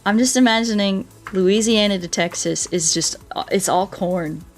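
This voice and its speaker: An American woman chatting casually as she streams a game, telling things in an animated way and repeating herself for emphasis, with music behind her. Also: medium pitch, moderate pace. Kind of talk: casual chat while playing a game, reading viewer comments.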